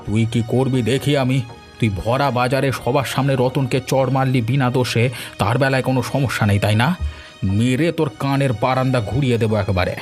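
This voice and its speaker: A man's voice: medium pitch, steady tone, fairly quick, soft background music, natural voice. A man voicing a righteous, hot-headed older village man who scolds indignantly in a cartoon. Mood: angry. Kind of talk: cartoon dialogue acting.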